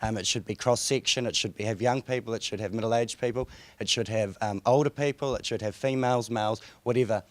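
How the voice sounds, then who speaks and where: A young man with a croaky voice, speaking hesitantly as a councillor on a conference panel. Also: medium pitch, fairly even intonation, brisk, no room sound. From New Zealand. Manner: hesitant, many fillers and restarts. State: hoarse, croaky voice. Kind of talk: panel discussion. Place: conference room.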